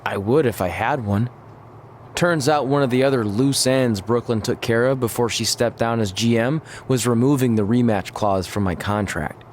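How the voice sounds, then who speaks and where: A man playing a good-natured, jokey pro wrestler in a video game cutscene, talking casually with deadpan humor. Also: mid-range voice, moderate pace, a little hiss or hum, natural voice. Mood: easygoing, joking.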